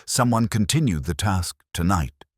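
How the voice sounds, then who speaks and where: A man voicing a shrewd, commanding Victorian detective in an audiobook, with deliberate, grave pronouncements. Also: deep voice, lively intonation, breathy edge, dry recording, clean studio sound, pitched above the performer's own voice. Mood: deductive. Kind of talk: audiobook character dialogue.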